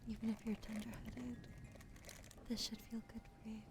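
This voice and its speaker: A woman with a gravelly, whispery voice speaks close to the mic in a soft, unhurried ASMR roleplay. She chats gently with her viewers, and a clear hiss of background noise runs under everything she says. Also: on the high side, flat delivery. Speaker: ASMR streamer.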